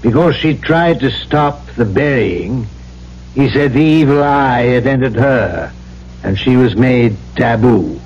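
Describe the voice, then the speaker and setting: A man's voice: medium pitch, close to an everyday voice. Grave missionary priest played by a man, muffled sound. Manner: formal, deliberate. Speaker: actor in supporting part. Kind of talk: old-time radio drama acting. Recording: muffled old radio recording with faint hum.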